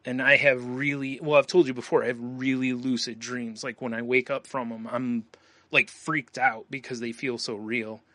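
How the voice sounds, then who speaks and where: A man's voice: mid-range voice, little pitch movement, moderate pace, bright, forceful tone, no echo. A man co-hosting a podcast in casual chat, rambling and laughing often as he talks. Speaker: podcast co-host.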